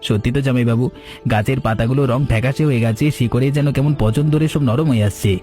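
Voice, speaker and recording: A man plays a young village lad in a cartoon tale. He talks fast and urgently in a mellow, mid-range voice. Light music sits behind the words. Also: mid-range voice, natural voice. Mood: worried. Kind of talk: Bengali cartoon story dialogue.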